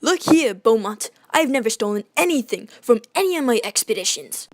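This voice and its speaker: Woman playing a haughty, pompous gentleman explorer. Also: on the high side, animated, normal speed, slight background noise. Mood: indignant. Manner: haughty, formal diction. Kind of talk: radio drama acting.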